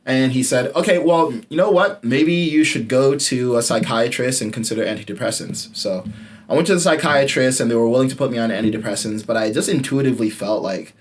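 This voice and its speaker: Candid young man telling his story. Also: fairly quick. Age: late 20s. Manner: candid and casual, swears freely, drops to a low murmur for emphasis. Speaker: podcast host. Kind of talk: personal storytelling monologue.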